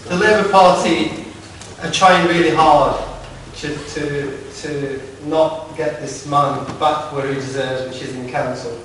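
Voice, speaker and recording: A man's voice: a little high, steady tone, fairly bright tone. Lancashire man speaking in an echoey hall. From Lancashire, England. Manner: halting, stumbles and repeats words, wry asides. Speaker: campaign speaker at a political meeting. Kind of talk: informal public speech. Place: large echoey meeting hall. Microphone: distant from the recording device. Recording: echoey hall recorded from far off with noise.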